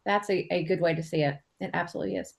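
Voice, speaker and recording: A woman's voice: fairly low pitch, steady tone, very fast, creaky. A woman hosting a casual conversational podcast interview, chatty and agreeable, talking in loose asides. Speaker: podcast host and author.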